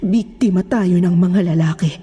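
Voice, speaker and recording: Low, mournful ghostly nun played by a woman. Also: moderate pace, dark tone, slight background noise, natural voice. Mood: bitter, pitying. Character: sorrowful ghostly nun, victim of cruel men. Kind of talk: horror story voice acting.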